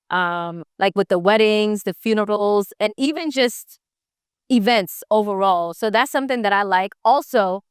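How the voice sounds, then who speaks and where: Upbeat woman from Jamaica, smooth dry voice. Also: mid-range voice, no room sound. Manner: upbeat, motivational, says "right?" often. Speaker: interview guest, entrepreneur. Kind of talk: casual interview.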